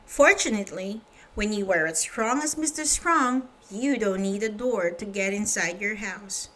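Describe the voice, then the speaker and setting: A woman's voice: mid-range voice, unhurried, fairly bright tone. A woman reading a children's story aloud, narrating and speaking directly to young listeners.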